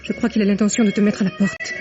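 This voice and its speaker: A woman's voice: mid-range voice, wildly swooping pitch, fast talker, over music. An actress playing an alarmed, wary married woman with a secret lover in a melodramatic French radio play. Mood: worried, warning. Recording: dull old radio recording.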